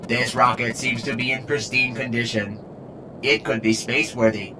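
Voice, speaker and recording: A man voicing a precise, dryly boastful robot in a game fan dub, with flat, formal delivery. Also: medium pitch, moderate pace, background noise, close to an everyday voice. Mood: dry, matter-of-fact. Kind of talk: scripted fan dub acting. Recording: music behind the voice.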